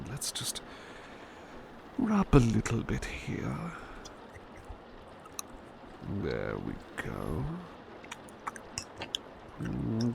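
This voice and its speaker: A devoted, fussing male butler, acted by a man, speaks in a low, slow voice that swoops expressively. He is polite and hesitant, gently repeating his reassurances in a soothing ASMR roleplay, with clear background noise behind him. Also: exaggerated voice.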